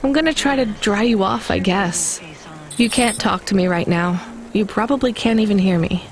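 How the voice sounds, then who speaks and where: A woman's voice: medium pitch, normal speed, natural voice. A woman playing an anxious, headstrong but kind young delivery driver in a video game. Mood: regretful, determined.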